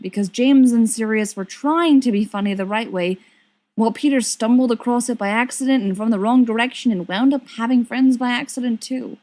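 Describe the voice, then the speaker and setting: A woman reading a story aloud as an expressive narrator. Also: mid-range voice. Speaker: volunteer audiobook reader. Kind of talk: expressive scripted fiction narration.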